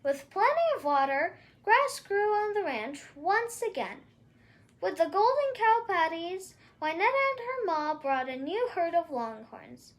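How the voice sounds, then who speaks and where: A woman reading a children's story aloud in an expressive storytelling style. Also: extremely high pitch, measured pace. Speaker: storybook reader.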